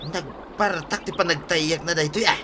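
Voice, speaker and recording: Male actor as bossy, scheming rural gold-seeker, Ilocano accent, higher-pitched voice, comedy drama, noticeably noisy backdrop. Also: moderate pace, natural voice. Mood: casual, bossy. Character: scheming, bossy village treasure-hunting man, eager and sly. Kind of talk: Ilocano comedy radio-style drama acting.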